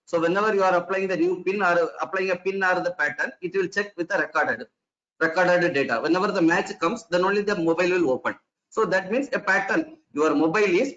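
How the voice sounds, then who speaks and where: High-voiced man lecturing, lo-fi. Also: a bit bright. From India. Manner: explanatory, repeats and restarts phrases, asks rhetorical questions. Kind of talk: online lecture to faculty. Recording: dull lo-fi online session audio.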